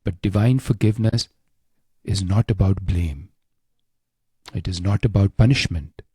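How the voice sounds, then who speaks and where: Slow, low, husky male meditation guide. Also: mellow, dry recording, studio quality.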